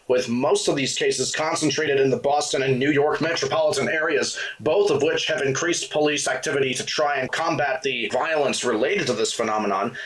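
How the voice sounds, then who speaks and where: A man voicing a composed male TV news anchor who reads a scripted report in a formal, even newsreader manner. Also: a little high, poor audio.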